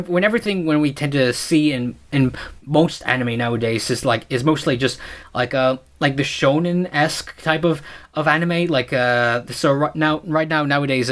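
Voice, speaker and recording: Young guy w/ american-latin mixed accent, kinda high voice, rambles a lot, lots of "like". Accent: mix of American and Latin. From United Kingdom. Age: young adult. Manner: rambling, heavy on "like" and restarts. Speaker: podcast host. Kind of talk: casual chat.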